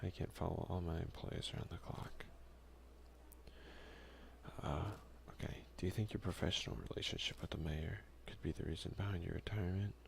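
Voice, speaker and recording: A man doing casual, hushed ASMR gaming commentary, soft-spoken and often slipping into a whisper, close to the mic. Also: low voice, fairly even intonation, a little fast, gravelly, a little hiss or hum. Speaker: let's play ASMR video creator.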